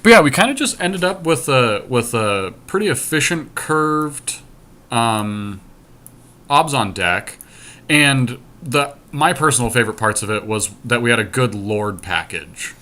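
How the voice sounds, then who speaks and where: A man's voice: mid-range voice, expressive, unhurried, bright, forceful tone. A man co-hosting a podcast with casual, unscripted commentary. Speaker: podcast co-host.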